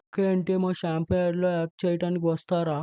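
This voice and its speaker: Guy as a smooth-talking cartoon director, encouraging, mellow, bit dull sound. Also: high-pitched, monotone, fairly quick, close to an everyday voice. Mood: persuasive.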